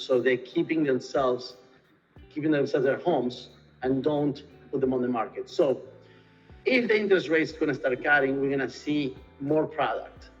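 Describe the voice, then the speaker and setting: Argentine man, Spanish-accented English, halting with fillers; dull lo-fi sound, faint music underneath. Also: mid-range voice, slightly rough. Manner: hesitant, many fillers and restarts. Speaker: real estate sales and marketing director. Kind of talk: interview answers.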